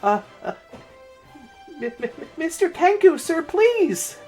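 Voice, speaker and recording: A man's voice: very high-pitched, very animated, slow, darkish tone, soft background music, voice pushed up, heavily acted. A man voicing a terrified young village boy in a fantasy story, stammering and pleading in trembling tones.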